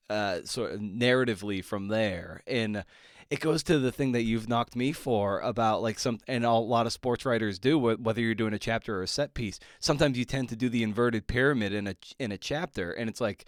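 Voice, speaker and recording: A man's voice: fairly low pitch, dry recording. A man hosting a podcast, talking casually and colloquially with playful asides as he introduces and interviews.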